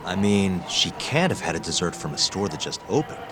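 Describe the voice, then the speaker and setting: An actor playing an earnest, easily exasperated young detective in a video game, with a dry, casual delivery. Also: male voice, sits low, big pitch swings, fairly quick. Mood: casual, determined. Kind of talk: video game cutscene dialogue.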